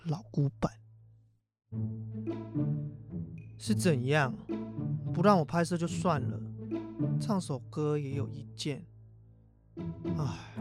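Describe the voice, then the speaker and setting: A man's voice: fairly high pitch, natural voice. A young man with a Taiwanese accent playing an impatient college student grandson in an everyday radio drama, speaking casually and expressively. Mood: annoyed, grumbling. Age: young adult. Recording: music bed behind the voice. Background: music.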